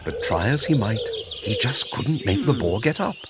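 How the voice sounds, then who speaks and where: A man narrating a children's fairy tale in an expressive, theatrical storytelling manner. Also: on the low side, moderate pace, crowd noise. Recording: music behind the voice. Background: music.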